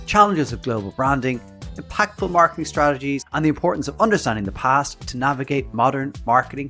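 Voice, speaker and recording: This is a man hosting a podcast, with soft music faintly under his voice. His pitch sits in the middle range, and he talks in a friendly way and laughs easily. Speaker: podcast host.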